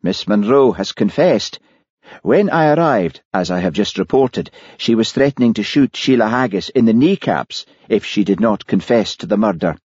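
A man acting a steady, plain-spoken male village police sergeant in an audiobook, somewhat formal. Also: on the low side, moderate pace, breathy edge, no room sound, lo-fi sound, voice pushed up. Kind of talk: audiobook character voice.

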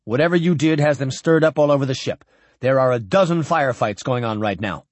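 A man's voice: mid-range voice, a little fast, no echo, natural voice. A man playing a decisive, dutiful warship captain in an audiobook, speaking tersely and urgently like a military officer. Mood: brisk, urgent. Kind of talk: audiobook character dialogue.

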